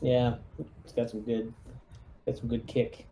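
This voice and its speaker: A man's voice: medium pitch, completely flat delivery, unhurried, dark tone. A man chatting casually as a player at a tabletop game. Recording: faint background noise.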